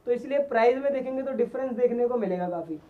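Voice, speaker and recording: A man's voice: very high voice, expressive, quick pace, narrow, tinny audio. A man in his twenties hosting a video, talking to camera and interviewing, often repeating answers back for viewers. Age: 20s. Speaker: video host. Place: garment factory workroom and sample room. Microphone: handheld mic with windscreen.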